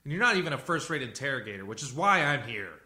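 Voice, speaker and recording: A man's voice: mid-range voice, very animated, fast talker, sharp tone. A man acting in a radio play as a blunt, self-assured civilian interrogator and psychologist who talks in a dry, cutting way. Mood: irritated, cutting. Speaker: actor.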